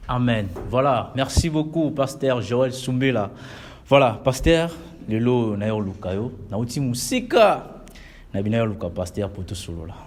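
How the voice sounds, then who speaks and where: A man's voice: medium pitch, big pitch swings, moderate pace, darkish tone, a little hiss or hum. A man from Congo hosting a web TV interview in a church, talking with emphasis and switching between French and Lingala. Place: inside a church.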